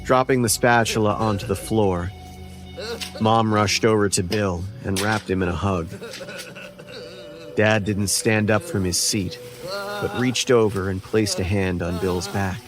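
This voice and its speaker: A man's voice: on the low side, steady tone, a little slow. A man narrating a scripted first-person horror story as its narrator. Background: faint music.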